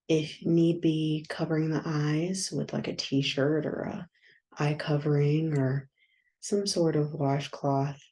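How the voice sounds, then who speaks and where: Slow, low, mellow woman guiding meditation. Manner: soft, soothing, unhurried with long pauses. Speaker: yoga nidra guide. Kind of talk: guided meditation.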